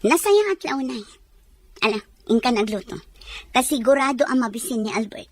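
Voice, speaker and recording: An actress with an Ilocano accent playing a haughty, bossy mistress of the house in a drama. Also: female voice, fairly high pitch, big pitch swings, moderate pace, no echo.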